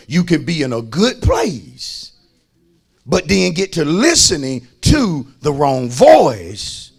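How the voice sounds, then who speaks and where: A man's voice: high-pitched, creaky, slightly rough. A man preaching as a pastor, emphatic, repeating phrases and calling on his listeners to respond. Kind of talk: sermon.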